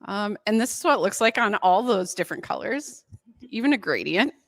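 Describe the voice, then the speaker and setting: A woman's voice: medium pitch, sing-song, a little fast, dry recording, clean studio sound. A woman presenting a brand design on a live stream, casual with frequent ums.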